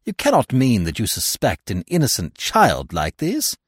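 A man's voice: medium pitch, huge pitch swings, normal speed, dry recording, studio quality, voice pushed up. A man voicing a detective's susceptible male friend, an earnest, easily shocked romantic with a self-conscious manner, in an audiobook. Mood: indignant. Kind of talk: audiobook character voice.

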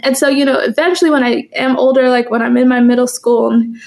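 A woman's voice: high-pitched, steady tone, fast talker, gravelly. A young woman who grew up speaking Swahili and Kikuyu first, talking casually and chattily as an interview guest. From United States, raised in Kansas after early childhood in East Africa. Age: young adult. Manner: chatty, informal, laughs often while talking. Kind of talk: casual personal conversation.

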